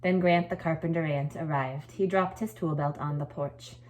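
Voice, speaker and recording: A woman with a low-pitched voice speaks as a teacher to her pupils. Her delivery suits reading a picture book aloud and explaining schoolwork to children. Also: fairly even intonation, normal speed. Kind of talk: expressive storybook read-aloud, then informal assignment explanation.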